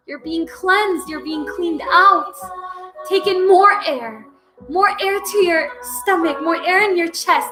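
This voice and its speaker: Slow, soothing woman guiding breathwork. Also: high voice. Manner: slow, soothing, repetitive coaching with loud breathing sighs. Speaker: breathwork guide. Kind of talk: guided breathwork session. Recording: music playing behind.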